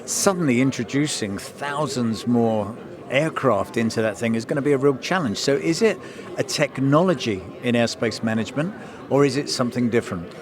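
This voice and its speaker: A man's voice: mid-range voice, expressive, normal speed. A man interviewing a guest on location at an event, putting questions in a conversational presenting style. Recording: crowd chatter around the voice.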